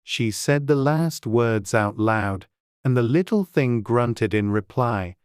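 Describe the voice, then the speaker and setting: A woman narrates a scripted storybook. Her voice is extremely low and her pace is slow and measured. The recording is dry, with no audible room. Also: lively intonation, darkish tone, clean tone, no room sound. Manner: measured, unhurried. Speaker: audiobook narrator.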